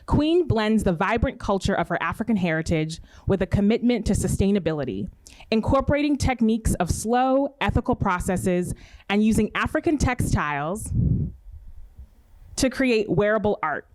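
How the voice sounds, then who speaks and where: A woman's voice: mid-range voice, very animated, moderate pace, a little mellow. A woman hosting an arts interview series, reading introductions and asking questions in a friendly way with hesitant fillers.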